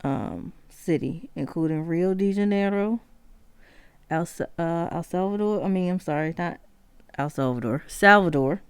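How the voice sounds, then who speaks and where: A woman hosting a solo music history podcast, talking haltingly with many pauses, fillers and self-corrections. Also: on the low side, clean tone, no room sound. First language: not Spanish. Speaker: podcast host.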